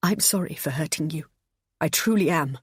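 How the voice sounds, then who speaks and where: A woman's voice: deep voice, normal speed, husky, no room sound, studio quality. A woman voicing a desperate older mother who sobs and pleads as her voice breaks. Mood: sobbing. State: sobbing.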